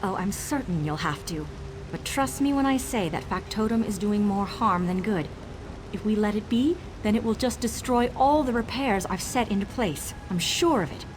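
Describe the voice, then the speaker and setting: A woman voicing a flustered but self-assured repair technician in a video game, brisk, defensive and insistent. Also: medium pitch, noisy background, natural voice. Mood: insistent, pleading. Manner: defensive, brisk, covering doubt with confidence. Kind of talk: video game character dialogue.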